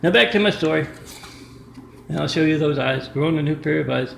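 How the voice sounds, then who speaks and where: Man vlogger, rough gravelly voice, rambling monologue to camera; echoey room with faint hum. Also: a little high, brisk. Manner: rambling, restarts often, reads out numbers and addresses. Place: indoor apartment with a kitchen.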